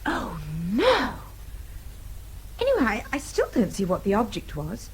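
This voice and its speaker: High-voiced, fretful young British woman. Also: wildly swooping pitch, unhurried, breathy edge, full character voice, low-quality recording. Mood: peevish, dismayed. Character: naive, highly strung young British woman. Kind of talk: radio drama acting.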